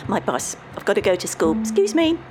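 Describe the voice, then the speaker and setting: A woman's voice: mid-range voice, wildly swooping pitch, measured pace, vocal fry, long reverb tail, over music, pitched above the performer's own voice, exaggerated voice. A woman voicing a moody, flustered schoolboy in an audiobook story, slangy and defensive. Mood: startled. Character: moody, flustered Irish schoolboy in his teens.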